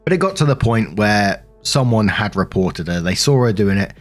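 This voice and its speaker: A man's voice: lowish voice, lively intonation, moderate pace, faint music behind. A British man hosting and narrating stories casually, in a chatty, rambling, sweary way. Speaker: narrator and host of a story compilation. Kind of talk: casual storytelling with personal commentary.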